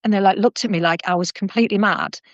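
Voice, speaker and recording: Middle-aged lady hosting a podcast, animated, keeps restarting, dry room. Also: medium pitch, quick pace, dry recording, muffled recording. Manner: chatty and animated, with frequent restarts and asides. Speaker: podcast host. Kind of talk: casual conversational interview.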